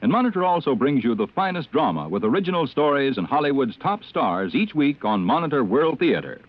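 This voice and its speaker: A man's voice: mid-range voice, sing-song, brisk. A man reading a scripted 1950s radio promo as a polished network announcer. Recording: dull vintage radio recording.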